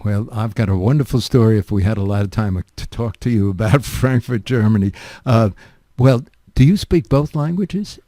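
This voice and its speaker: A man's voice: lowish voice, moderate pace, warm tone, no room sound, clean studio sound. An older man hosting a radio interview, asking chatty, rambling questions full of asides. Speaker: radio show host.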